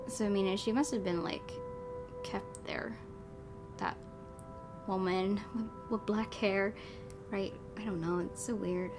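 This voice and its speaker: A woman's voice: mid-range voice, fairly even intonation, takes it slowly, long pauses. A woman hosting a let's play, reading a horror visual novel aloud and adding casual commentary. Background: music.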